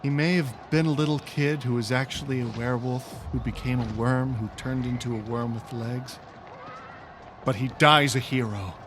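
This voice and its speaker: An actor playing an anxious, wry adventurer and sidekick in a fantasy comedy audio drama. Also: male voice, mid-range voice, fairly even intonation, normal speed, natural voice. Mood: somber. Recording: hall reverb with noisy background.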